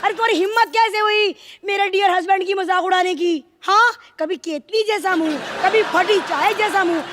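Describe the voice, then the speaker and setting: A woman playing a loud, dramatic, scolding village wife and mother in live TV sketch comedy. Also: very high voice, normal speed. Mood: scolding, outraged. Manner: theatrical, scolding, exclaiming. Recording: noisy stage with studio audience.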